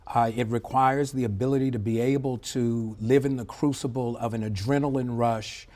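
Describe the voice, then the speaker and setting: A middle-aged man speaking as an expert interview guest, explaining in a measured, emphatic way and often checking in with a short tag question. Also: medium pitch, steady tone, darkish tone. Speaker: former air traffic controller, interview guest. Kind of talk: live TV news interview, explanatory commentary.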